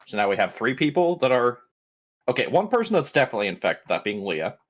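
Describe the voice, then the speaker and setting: A man's voice: mid-range voice, sing-song, fast talker. A man taking part in a tabletop game, chatting casually in a blunt, jokey way. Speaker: roleplaying game player. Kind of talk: casual chat.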